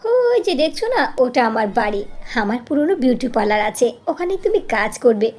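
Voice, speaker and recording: A woman's voice: fairly high pitch, big pitch swings, normal speed, a little mellow. A woman voicing a kindly old village woman in a cartoon, comforting and motherly as she offers help. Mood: reassuring.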